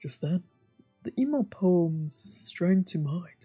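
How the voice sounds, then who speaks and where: A woman's voice: lowish voice, animated, slow, mellow, slightly rough. A woman streamer reading visual novel narration aloud, with casual asides. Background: faint music.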